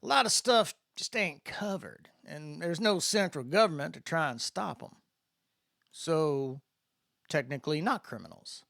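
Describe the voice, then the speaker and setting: A man's voice: fairly high pitch, animated, a little slow, creaky, a bit bright, no echo, clean studio sound, heavily acted. A man voicing an easygoing good old boy from Mississippi, a spacefaring mentor with a folksy Southern drawl, in a science fiction audiobook. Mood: folksy, explaining.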